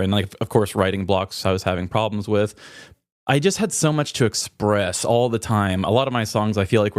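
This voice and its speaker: A man's voice: fairly low pitch, clear, resonant tone, no echo, clean studio sound. A man co-hosting a podcast, chatting casually in a candid, introspective and wordy way. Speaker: podcast co-host. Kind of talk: casual reflective podcast chat.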